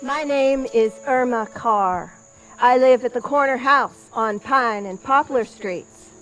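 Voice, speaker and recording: A woman playing a doctor's wife of the 1910s, giving a deliberate eyewitness account as a scripted historical monologue. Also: a little high, a bit bright, soft background music, poor audio. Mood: recounting. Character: doctor's wife recounting witnessing a robbers' chase in 1910s.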